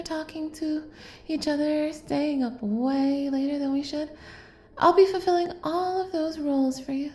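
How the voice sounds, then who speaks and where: A woman's voice: sits high, roomy sound, lightly acted. A woman playing an obsessive, lovestruck kidnapper in an intimate audio roleplay, doting and possessive, coaxing the listener with hesitant asides. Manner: soft, coaxing, hesitant asides, drops into whispers.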